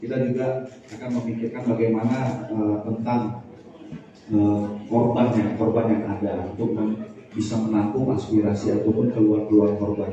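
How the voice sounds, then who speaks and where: A man speaking as a police official at a press briefing, in a measured official tone with frequent hesitations. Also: on the low side. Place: large hall at a press conference. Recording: echoey hall from far off, dull lo-fi.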